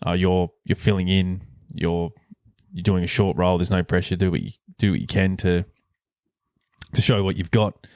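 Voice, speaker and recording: The low-voiced bloke steering a sports podcast from a well-deadened room, thinking out loud and ribbing his co-host. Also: fairly even intonation, normal speed, dry recording, clean studio sound. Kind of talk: casual sports chat.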